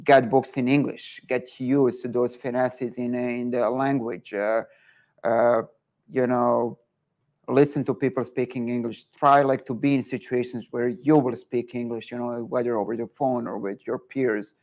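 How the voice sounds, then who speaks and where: A man's voice: medium pitch, little pitch movement, a little slow, darkish tone. A man with a Serbian accent, a guest entrepreneur in an online interview, giving earnest advice with many filler words.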